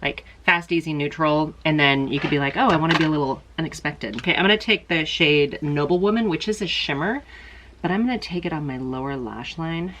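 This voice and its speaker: Low-voiced, congested woman chatting makeup. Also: fairly quick, a bit bright, a little hiss or hum. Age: middle-aged. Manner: chatty, rambling, self-deprecating. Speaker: beauty vlogger. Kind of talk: casual makeup tutorial chat.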